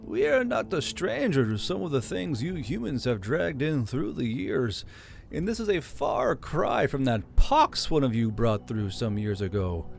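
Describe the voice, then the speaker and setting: A man voicing a kindly, chatty older male elf governor with a cheerful, gently teasing manner. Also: medium pitch, sing-song, unhurried, vocal fry. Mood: matter-of-fact.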